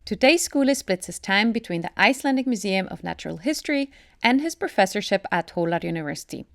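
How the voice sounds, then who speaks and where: A woman's voice: mid-range voice, animated, quick pace, vocal fry, no room sound, studio quality. A woman hosting a podcast, reading a scripted introduction in an upbeat, enthusiastic way. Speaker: podcast host.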